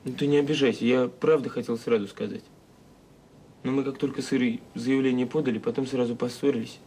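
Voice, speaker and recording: A man's voice: medium pitch, steady tone, fairly quick, warm tone, close to an everyday voice. Actor as young guy talking to his mom, boyish, direct, caring, radio play w/ light noise. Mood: earnest. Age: young adult. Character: young grown son, caring, questioning his mother. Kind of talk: naturalistic Soviet radio drama acting.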